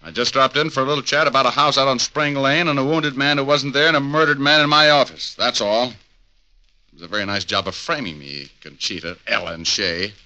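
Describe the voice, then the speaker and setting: An actor playing a tough, wisecracking private eye who talks in a sardonic, hard-boiled way, in 1940s radio acting style. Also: male voice, mid-range voice, fairly quick, pushed and bright, low-quality recording.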